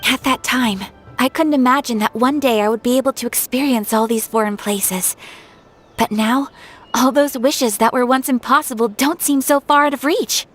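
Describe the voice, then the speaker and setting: A woman playing a gracious, composed young noblewoman swordfighter in a video game, speaking in a polite, formal, gentle way. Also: fairly high pitch, moderate pace, faint music behind, lightly acted. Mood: wistful. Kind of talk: video game cutscene dialogue.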